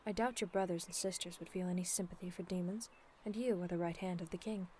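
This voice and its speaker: A woman's voice: on the low side, fairly even intonation, a little mellow, natural voice. Dignified demon princess, acted by a woman, over ambient noise. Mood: solemn, earnest. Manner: formal, measured, persuasive. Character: dignified, earnest demon princess pleading for her people. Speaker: voice actor in an audio roleplay. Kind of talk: scripted ASMR roleplay acting, addressed to the listener.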